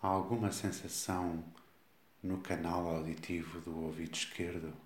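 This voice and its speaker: A man with a European Portuguese accent guides a body scan meditation, giving slow, calm instructions with long pauses. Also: sits low. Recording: echoey, reverberant room sound.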